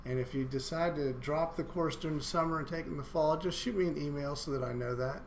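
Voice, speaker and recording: A male university instructor going through a course syllabus in a recorded online lecture, talking in a monotone with many halting fillers and restarts. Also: fairly high pitch, slight background noise. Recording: roomy echo, faint room ambience.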